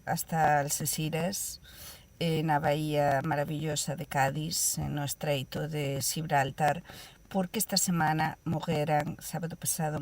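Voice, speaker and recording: A woman from Galicia with a Galician accent commenting on a panel, earnest and hesitant with many fillers. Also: low-pitched. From Galicia, Spain. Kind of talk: studio panel chat.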